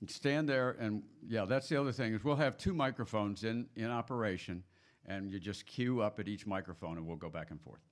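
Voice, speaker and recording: A man's voice: on the low side, fairly quick. A man moderating a meeting, informally explaining procedure to the group and often repeating and rephrasing himself.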